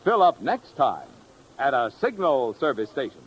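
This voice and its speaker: A man's voice: mid-range voice, big pitch swings, normal speed, slight background noise, low-quality recording. A man delivering a chummy, folksy 1950s radio commercial as the announcer.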